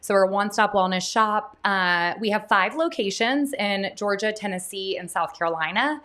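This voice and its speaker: A woman's voice: mid-range voice, normal speed, bright, forceful tone. A woman speaking as a panelist about her work, upbeat and conversational. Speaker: panelist, wellness company founder. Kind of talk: conversational business introduction. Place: inside a wellness center.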